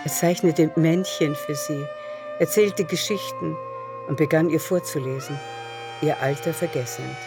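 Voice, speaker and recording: A woman's voice: low voice, unhurried. Woman narrating a literary radio drama. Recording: music behind.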